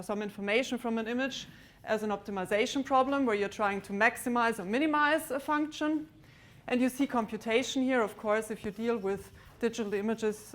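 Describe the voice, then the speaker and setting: A woman giving a maths lecture to students in a large lecture theatre, pausing often with ums and restarts. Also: fairly high pitch. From Austria. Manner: hesitant, many ums and restarts, walks about with a pointer. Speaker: mathematics lecturer.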